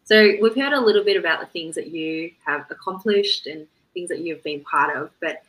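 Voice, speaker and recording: Bright, low-pitched woman hosting a podcast. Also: moderate pace, rough recording. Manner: chatty, loose phrasing with many fillers. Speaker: podcast host. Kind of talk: interview.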